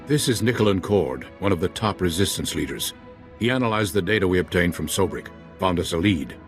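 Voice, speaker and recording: A brisk military officer. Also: male voice, sits low, lively intonation. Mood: briefing. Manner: brisk, businesslike briefing. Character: male Republic officer, brisk military planner. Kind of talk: game cutscene voice acting. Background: game music.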